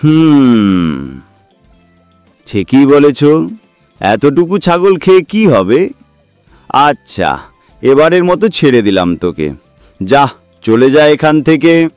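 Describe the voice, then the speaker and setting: A greedy monster ghost lurking under a bridge in a kids' cartoon. The voice swoops widely and moves very slowly, gloating and threatening. It comes through smooth and resonant. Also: male voice, medium pitch, very animated, darkish tone, no room sound, soft background music. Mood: greedy, pondering. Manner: gloating, threatening, drawn-out delivery. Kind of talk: children's cartoon villain acting.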